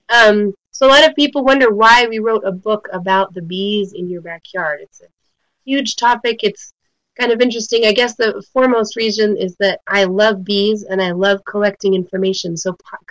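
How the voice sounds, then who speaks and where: A woman's voice: mid-range voice, fairly bright tone, no echo, rough recording. A woman walks listeners through a webinar lecture in an easygoing, friendly way, with plenty of ums. She is just getting over a cold. The recording is dry, with no room sound. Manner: friendly, informal explaining with frequent ums. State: recovering from a cold. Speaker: guest speaker, bee biologist. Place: home in New Mexico.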